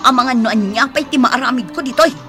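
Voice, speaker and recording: A woman's voice: on the high side, brisk, a bit bright, lightly acted. An actress playing a hot-tempered, hurt young girlfriend in an Ilocano radio drama. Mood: angry, hurt. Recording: dramatic music behind the voice.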